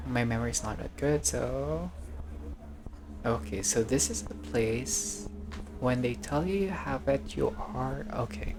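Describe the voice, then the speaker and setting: A man's voice: medium pitch, takes it slowly, background noise. A man narrating a visual novel playthrough, reading the text aloud and adding casual commentary as a gamer.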